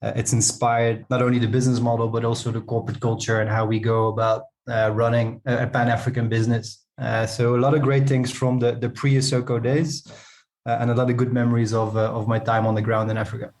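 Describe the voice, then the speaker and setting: A man speaks as an interview guest. His mid-range voice stays quite flat in pitch. He talks conversationally, hesitating and doubling back on words as he explains. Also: fairly quick. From Netherlands. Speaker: guest, company co-founder and CEO.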